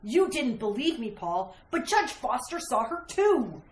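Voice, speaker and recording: A woman playing a frightened, haunted wife in retro radio horror style, with a breathless, dramatic, halting delivery. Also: high-pitched, big pitch swings. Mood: fearful insistence. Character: frightened, haunted wife insisting a dead witch returned. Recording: roomy, echoey, clearly noisy recording.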